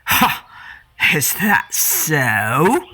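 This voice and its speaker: A woman's voice: sits low, wildly swooping pitch, sharp tone, husky, exaggerated voice. Woman as a growling, scornful mother lion. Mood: taunting. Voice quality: growling, snarling. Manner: drawn-out, taunting.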